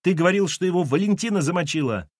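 Grown man doing a carefree kid, high pitched, loud and slangy, dry audiobook recording. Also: expressive, fast talker, no echo. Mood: puzzled. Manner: slangy, flippant, calling out. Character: cheeky, carefree schoolboy son, loud and slangy.

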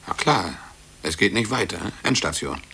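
An actor in a radio play voicing a cocky, naive young office colleague with a breezy, blunt manner, on old tape. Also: male voice, sits low, animated, normal speed, bright, forceful tone, slight background noise, heavily acted. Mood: flippant. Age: young adult. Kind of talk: 1950s German radio play acting.

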